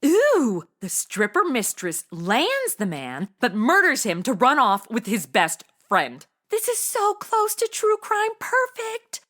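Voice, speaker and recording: A woman's voice: sits high, huge pitch swings, takes it slowly, few pauses, a bit bright, full character voice. An actress playing a brash, ditzy, fashion-obsessed modern young woman in a comedic audio drama, with a sassy, flippant delivery. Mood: excited, gossipy.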